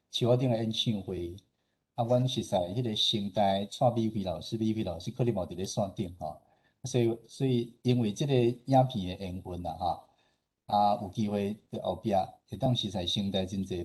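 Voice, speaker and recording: A man's voice: fairly low pitch, dark tone, husky. A man with a Taiwanese accent giving an informal, explanatory presentation as a radio station head, often tagging his sentences with particles.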